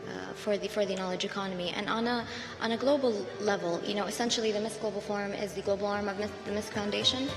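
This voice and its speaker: A woman's voice: mid-range voice, steady tone, fast, music in the background. Woman explaining in a roomy hall. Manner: explanatory, with fillers and restarts. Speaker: foundation representative. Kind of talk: interview soundbite.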